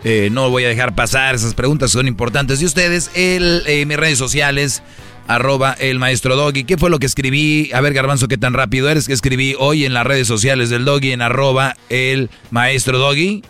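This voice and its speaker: A male radio host talks in a bright, forceful voice at an average pitch. He is casual, slangy and opinionated, with faint music underneath. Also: moderate pace. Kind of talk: casual comedic radio talk.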